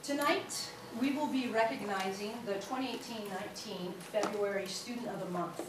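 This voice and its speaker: A woman's voice: medium pitch, normal speed. Woman presenter at a board meeting, reads steadily, far off in a reverberant room with background noise. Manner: reads aloud steadily, with small slips and fillers. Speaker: school official presenting an award. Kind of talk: reading a formal introduction at a meeting. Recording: echoey hall, picked up from far off, with noise.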